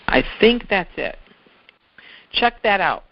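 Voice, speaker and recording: A man's voice: high voice, expressive, slow. A man giving an informal instructional talk as a sales trainer, explaining things step by step in a conversational way and repeating key points. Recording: dull, lo-fi audio.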